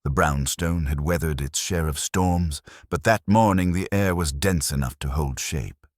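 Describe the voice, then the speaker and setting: A man's voice: extremely low pitch, measured pace, darkish tone, dry recording, studio quality. A man narrating first-person detective fiction as a scripted audiobook reading.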